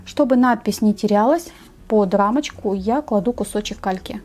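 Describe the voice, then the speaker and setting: A woman narrating a step-by-step craft tutorial in a measured, explanatory way. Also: mid-range voice, darkish tone, faint music behind.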